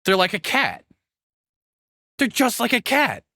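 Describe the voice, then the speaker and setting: A man's voice: very high-pitched, wildly swooping pitch, a little slow, bright, forceful tone, dry recording. A man, probably in his thirties, streaming casual reaction commentary in a candid, self-deprecating, rambling way.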